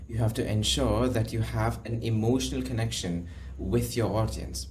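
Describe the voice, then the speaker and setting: A man's voice: on the low side, slight background noise. A young man teaching public speaking in a video lesson, explaining and demonstrating how to vary his tone. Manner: demonstrates tones, shifts loudness for emphasis. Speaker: speaking coach. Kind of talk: instructional video talk.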